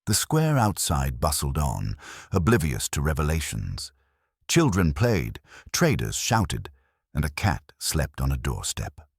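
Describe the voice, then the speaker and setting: A man narrating a scripted mystery story in a measured, dramatic, radio-drama storytelling manner. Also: very deep voice, huge pitch swings, creaky, slightly rough, dry recording, studio quality.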